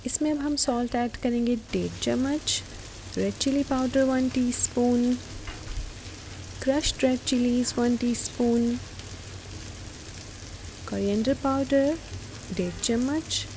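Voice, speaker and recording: A woman with a high, slightly creaky-edged voice walks viewers through a recipe step by step. She speaks to them directly as an instructor, with clear sizzling and stirring noise behind her. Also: takes it slowly, pauses often, a little mellow, poor audio. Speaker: cooking show host. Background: frying, sizzling and stirring.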